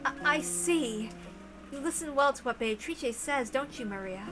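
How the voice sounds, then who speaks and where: A woman's voice: high-pitched, lively intonation, normal speed, loud music behind the voice. A woman voicing a tense, anxious and secretive mother, hesitant and self-questioning, in a visual novel read aloud. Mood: uneasy. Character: anxious, secretive adult mother, quick to scold.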